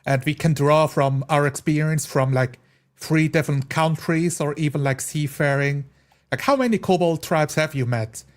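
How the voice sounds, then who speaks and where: A man's voice: on the high side, normal speed. A man in a casual group chat about a role-playing game, explaining his points through examples. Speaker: tabletop role-playing player.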